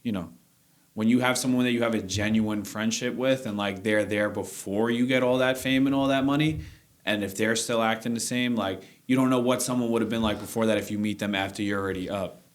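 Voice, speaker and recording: A man's voice: on the low side, fairly even intonation, fairly quick. A man from Queens with a New York accent chatting casually about sports in a slangy, rambling way. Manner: slangy, rambling, quotes imagined speakers. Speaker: sports show panelist.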